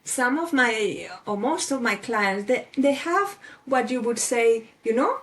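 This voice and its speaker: A woman's voice: on the high side, animated, a little slow, fairly bright tone. A woman in her forties from Spain with a Spanish accent, talking thoughtfully as a guest life coach over a video call, with fillers and restarts. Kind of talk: casual interview chat.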